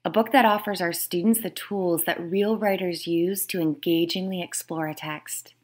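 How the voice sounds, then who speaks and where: A woman's voice: fairly low pitch, steady tone, normal speed. A woman who is an author, reading her own book aloud as scripted narration.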